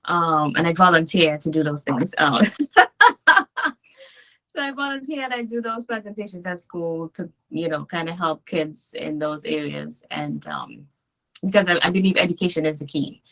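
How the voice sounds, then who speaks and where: A woman from Trinidad, chatting easily as an interview guest over a video call, chuckling often and filling pauses with ums. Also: lowish voice, sing-song, bright, forceful tone, rough recording. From Trinidad and Tobago. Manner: easygoing, chuckles often, many filler ums. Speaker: guest, domestic violence coach. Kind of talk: casual interview chat.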